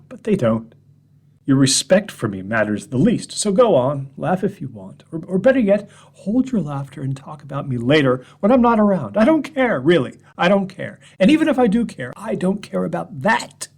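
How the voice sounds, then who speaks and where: A man reading a horror story as a shaken, bitter narrator telling gentlemen how his life came apart, veering between loud outbursts and hushed whispers. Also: on the high side, very animated, moderate pace, husky, exaggerated voice.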